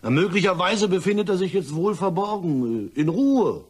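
An evasive gentleman in a crime radio drama, with a husky voice and very lively intonation. He stammers and hedges, formally polite but clearly rattled. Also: high voice, normal speed, exaggerated voice. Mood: nervously evasive. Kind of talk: German crime radio play acting.

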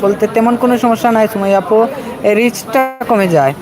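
A man's voice: sits high, quick pace. A man with a Bangladeshi accent hosting a casual livestream outdoors in a busy market area, speaking to commenters directly and repeating himself. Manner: repetitive, addressing commenters directly. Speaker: livestream host. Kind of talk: casual livestream chat with viewers. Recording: busy street crowd and traffic noise. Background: crowd chatter and vehicles.

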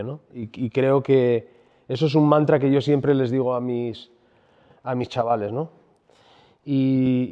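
A mellow, low-key man in his forties speaks on stage into a handheld mic. He talks haltingly, restarts phrases and keeps checking in with a questioning tag. His voice rings in a large hall with a long tail, and the sound is dull. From Asturias, Spain. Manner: halting, repeats words, tags lines with "¿no?". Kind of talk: conference talk on stage.

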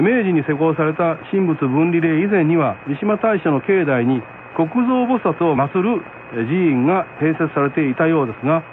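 A male radio host explains things politely, his fairly high voice moving in lively swings. The sound is narrow and tinny, with faint noise. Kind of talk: explanatory talk to listeners.

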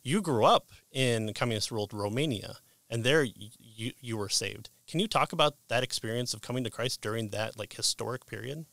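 A middle-aged man hosting a casual interview, speaking in a hesitant, halting way into a close microphone. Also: medium pitch, vocal fry, a bit bright, no room sound. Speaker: podcast host and interviewer. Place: indoor room lined with bookshelves. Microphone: close boom-arm microphone with headphones.

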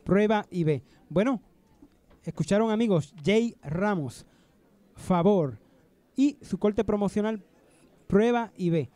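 A man in his forties hosts an interview with announcer-like polish. His voice sits high but soft and mellow. He speaks into a handheld mic at an indoor expo booth. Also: animated, takes it slowly, long pauses, dry recording. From Puerto Rico. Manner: friendly, announcer-like delivery. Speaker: radio host. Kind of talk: radio interview.

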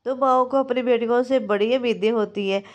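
A woman in her thirties with a Pakistani Urdu accent, chatting through a home vlog and voice-over, hoarse from a sore throat and cold, homely and confiding. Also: fairly high pitch, steady tone, fast talker, a little mellow, dull audio. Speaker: home cooking vlogger. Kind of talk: casual vlog chat and voice-over. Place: small room at home, indoors.

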